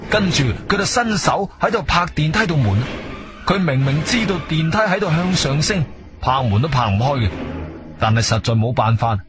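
A man with a Guangzhou Cantonese accent narrating a radio drama as a conversational storyteller, dropping in interjections. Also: a little high. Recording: music bed behind studio voice.

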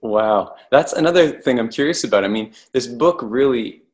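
A man's voice: medium pitch, animated, normal speed. A man in his thirties hosting a podcast, asking curious questions into a studio microphone at home. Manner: curious, encouraging with short reactions. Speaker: podcast host. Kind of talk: casual interview chat. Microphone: studio mic with headphones.

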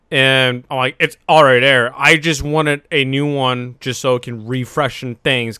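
Male gaming video creator, casual rambling explainer, clear bright tone, dry recording. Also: mid-range voice, no echo. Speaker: YouTube gamer.

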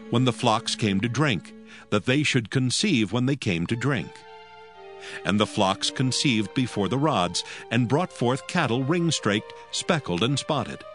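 Animated male Bible narrator. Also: mid-range voice.

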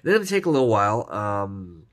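A man's voice: fairly low pitch, brisk. Stuffy-voiced man showing his coins. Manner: relaxed, rambling, frequent fillers. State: stuffy from a cold. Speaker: coin collecting vlogger. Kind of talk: casual show-and-tell narration.

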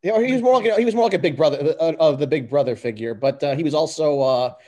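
A man's voice: a little high, quick pace, darkish tone. A man chatting casually and reading a script as an amateur, circling back over his words hesitantly.

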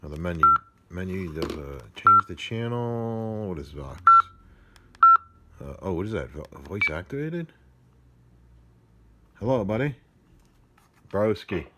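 A man's voice: deep voice, very animated, unhurried, long pauses. A man chatting casually and off the cuff as he handles gadgets, muttering to himself in a playful, mildly crude way. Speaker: amateur product unboxing vlogger. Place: indoors at a table. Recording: noisy home phone-camera recording, device clicks.

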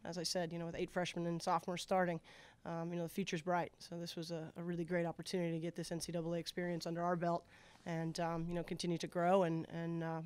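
Measured woman coach, flat low voice. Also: clear, resonant tone. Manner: measured, steady, heavy on filler words. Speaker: head soccer coach. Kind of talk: post-game press conference answers. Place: press conference room at a table. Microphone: table microphone.

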